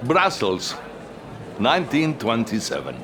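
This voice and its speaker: Theatrical scheming Soviet colonel, Russian accent. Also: male voice, medium pitch, huge pitch swings, unhurried, noisy background. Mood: grandiose, sly. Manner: theatrical, commanding. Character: scheming ambitious Soviet colonel, urgent and grandiose. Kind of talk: dramatic game cutscene acting. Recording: music behind the dialogue.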